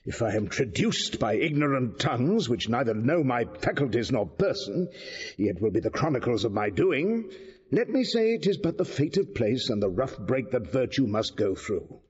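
An actor playing a powerful, self-justifying cardinal in a classical verse audio drama, with a husky voice. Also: male voice, mid-range voice, very animated, moderate pace, a little mellow. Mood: defensive, measured. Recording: muffled, long hall reverb.

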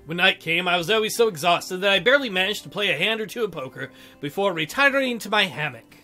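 Male let's-play narrator, bright and high, theatrical reader with joking asides, faint music underneath. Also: expressive, fast talker. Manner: jokey, theatrical, breaks into silly mock voices. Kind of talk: reading a visual novel aloud with joking commentary. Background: game music.

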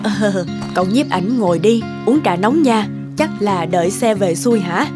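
A woman playing a small, easygoing old tea seller in an animated story, homely and chatty. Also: medium pitch, moderate pace, close to an everyday voice. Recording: music under the voice.

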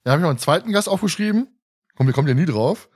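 A man's voice: on the high side, expressive, racing, a little mellow. A man hosting a podcast in casual chat, joking and often chuckling as he talks. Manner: jokey, chuckles often while talking. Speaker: podcast host moderating the questions.

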